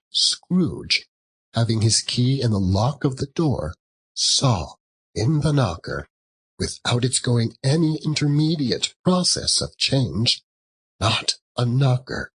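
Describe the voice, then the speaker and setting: A man narrating a classic story as an audiobook, theatrical, with dramatic and deliberate phrasing. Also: mid-range voice, very animated, a bit bright, breathy edge.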